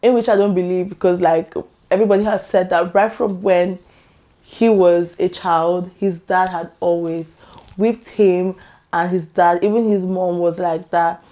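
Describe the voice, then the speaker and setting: Woman vlogger, voice gone hoarse, low and mellow, chatty and opinionated. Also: fairly even intonation, unhurried. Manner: chatty, opinionated, laughs often. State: hoarse, losing her voice. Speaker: YouTube vlogger. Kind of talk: casual chat to camera.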